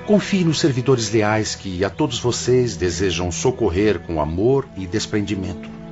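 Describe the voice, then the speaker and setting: A man plays a wise, fatherly spirit who directs an afterlife hospital, in a very low voice. His solemn, formal counsel rises and falls expressively in audiobook drama style. The sound is dull and lo-fi, with music clearly underneath. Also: expressive, normal speed, lightly acted. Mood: gently firm.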